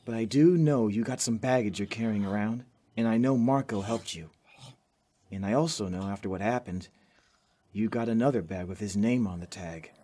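A man's voice: lowish voice, moderate pace, warm tone, close to an everyday voice. A man with an American accent playing an earnest, jittery, caring young survivor in a zombie apocalypse audio drama. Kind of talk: fan audio drama acting.

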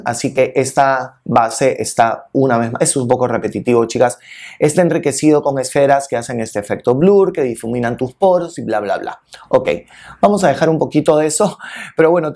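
A man's voice: mid-range voice, a little mellow. A man in his early fifties with a Peruvian accent, chatting expressively and familiarly to viewers in a casual makeup review. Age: early 50s. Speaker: beauty vlogger and makeup reviewer. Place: indoor room, filmed seated in front of a plain wall. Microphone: lapel mic.

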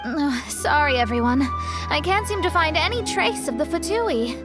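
A woman's voice: high-pitched, animated, normal speed, music in the background. A woman playing a proud, self-assured young astrologer mage in a video game, with a haughty manner. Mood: pensive. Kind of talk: scripted video game dialogue.

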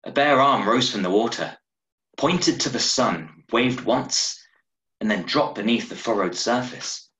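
A man in his thirties reading a short story aloud in a measured, dramatic way, recorded at home over a video call. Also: mid-range voice, animated, a bit bright. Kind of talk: expressive audiobook-style story narration. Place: small room at home, indoors. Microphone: video call from a desk, wearing earphones. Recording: roomy, echoing home webcam recording.

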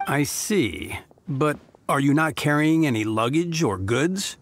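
A man's voice: a little high, very animated, slow pace, slight background noise, heavily acted. A man voicing a formal, dutiful, by-the-book city gate knight in a video game, courteous and officious. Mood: officious scrutiny. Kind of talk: video game character acting.

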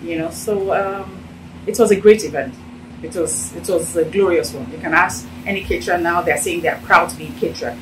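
A middle-aged Nigerian woman with a Nigerian accent, telling her story in a casual, animated interview in an office. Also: lowish voice, brisk, far from the mic, background noise. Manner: animated, gesturing while talking. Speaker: interviewee, business owner. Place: indoor office with sofa. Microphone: handheld phone held out by interviewer.

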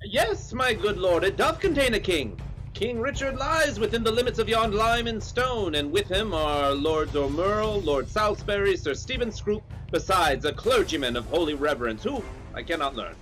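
A man playing a high-voiced noble messenger. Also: animated, moderate pace, fairly bright tone, faint music behind, low-quality recording. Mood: formal. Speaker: actor in a staged reading.

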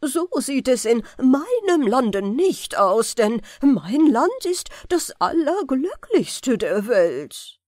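A woman's voice: high-pitched, sing-song, takes it slowly, few pauses, a little mellow, dry recording, studio quality, exaggerated voice. A woman voicing a proud, stubborn king in a fairy tale, with emphatic, imperious delivery. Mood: indignant.

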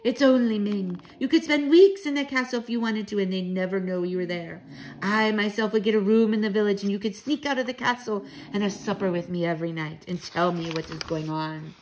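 A woman's voice: medium pitch, steady tone, fairly quick, slight background noise, lightly acted, poor audio. A woman voicing a bold, proud old Norwegian grandmother in a storybook, speaking with assured, dramatic emphasis and affection. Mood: rousing, emphatic.

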